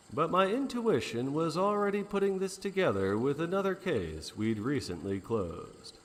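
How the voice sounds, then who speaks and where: Man playing a wry noir private eye, swooping delivery. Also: a little high. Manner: wry, deliberate, dramatic pauses. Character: deadpan wisecracking male private eye narrating his case. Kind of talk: 1940s noir radio drama narration. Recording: long hall reverb, faint noise.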